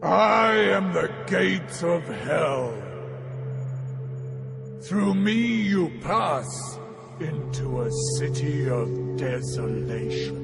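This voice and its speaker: An actor playing the eternal gate of hell in an audio drama, grim and commanding, proclaiming solemnly in drawn-out phrases. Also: male voice, fairly high pitch, big pitch swings, vocal fry, heavily acted. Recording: loud music under the voice.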